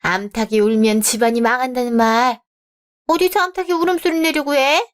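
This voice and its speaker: A haughty middle-aged mother-in-law who scolds and talks down to everyone, read by a woman in a radio drama. She speaks in a fairly high voice with a condescending edge. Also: steady tone, brisk, natural voice. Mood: scolding.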